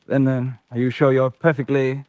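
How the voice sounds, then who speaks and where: An actor in an old-fashioned radio drama playing a jovial, flippant upper-class politician with a plummy, bantering, hesitant manner. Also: male voice, mid-range voice, level tone, normal speed, darkish tone, no echo, close to an everyday voice. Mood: baffled banter.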